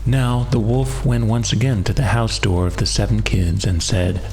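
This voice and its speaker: Low-pitched man narrating a fairy tale. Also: steady tone, moderate pace, a little mellow, soft background music. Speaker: audiobook narrator.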